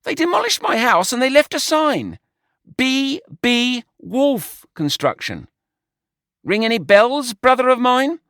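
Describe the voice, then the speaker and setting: A man's voice: very high-pitched, very animated, takes it slowly, a bit bright, no echo, studio quality, voice pushed up, heavily acted. A man voicing a lecherous, slovenly male pig who boasts, dismisses and wails. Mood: urgent.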